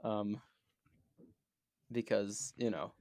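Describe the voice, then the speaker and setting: A man's voice: lowish voice, steady tone, very slow, dark tone, no room sound, studio quality. Playful, rambling man on a podcast. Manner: playful, rambling, stammers and restarts. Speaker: podcast co-host. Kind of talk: casual chat.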